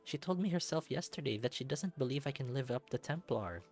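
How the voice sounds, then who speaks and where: Man as an anxious young college guy, mid-pitched. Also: lively intonation, fairly quick, a little mellow, faint music behind, lightly acted. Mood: overwhelmed. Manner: hesitant, informal, introspective. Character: anxious, unsure young male college student, furry hero.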